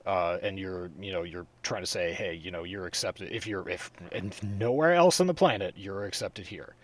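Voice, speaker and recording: A man's voice: low-pitched, moderate pace. A man co-hosting a casual gaming podcast, talking bluntly and profanely with rambling restarts. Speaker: podcast co-host. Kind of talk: casual gaming news chat.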